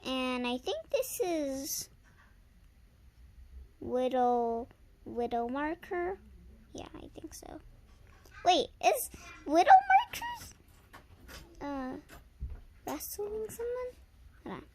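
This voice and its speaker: Very slow, high-voiced young girl reading aloud. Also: very animated, background noise. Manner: halting, stumbles over words and corrects herself. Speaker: reader of a readers theater script. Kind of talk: informal read-aloud of a tall tale with asides to viewers. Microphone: handheld camera held by the reader.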